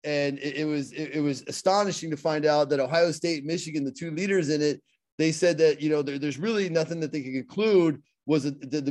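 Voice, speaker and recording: Middle-aged pittsburgh guy, kinda high voice, earnest, keeps stumbling and restarting. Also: darkish tone. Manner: earnest, repeats words and restarts often. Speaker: guest, motivational speaker. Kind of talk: casual interview talk.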